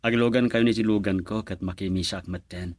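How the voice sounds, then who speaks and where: A man's voice: fairly low pitch, fairly even intonation, a little fast, no room sound, close to an everyday voice. An actor playing a friendly, modest young professional man in a radio drama. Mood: friendly, respectful. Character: friendly, modest young architect, respectful to elders.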